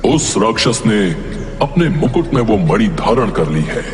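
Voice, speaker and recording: A man voicing a cursed angel trapped as a talking tree in a cartoon story, gruff, solemn and commanding. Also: lowish voice, very animated, a little slow, slight background noise. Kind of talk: Hindi cartoon story voice acting. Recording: echoing hall reverb, faint music behind. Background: music.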